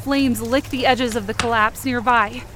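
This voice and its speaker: High-voiced woman narrating intense audio drama. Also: fairly even intonation, moderate pace, noisy background. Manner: intense, theatrical, short clipped sentences. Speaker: writer and performer of fiction podcast. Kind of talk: dramatic first-person scripted narration.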